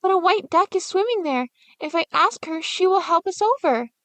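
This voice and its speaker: A woman's voice: very high voice, lively intonation, normal speed, fairly bright tone, no room sound, pitched above the performer's own voice. A woman voicing a scared but brave little girl in a storybook reading, with a pleading, breathless manner. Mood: eager.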